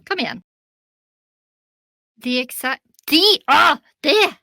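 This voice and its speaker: A woman's voice: high voice, expressive, takes it slowly, vocal fry, bright, forceful tone, no echo, studio quality. A woman with a Norwegian accent reading a script, repeating tricky words and correcting herself. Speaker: voice actor recording narration. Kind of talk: scripted reading with casual asides.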